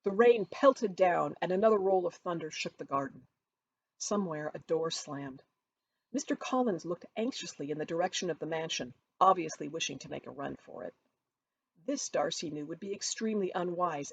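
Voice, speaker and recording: A woman narrates a novel as its author, in an expressive storytelling style. Her voice is slightly low, with a husky edge to it. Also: normal speed, dull audio. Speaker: author reading from own book.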